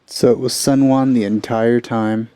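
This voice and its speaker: A man's voice: mid-range voice, steady tone, unhurried, mellow. A man giving casual reaction commentary as he plays through a video game. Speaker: let's player.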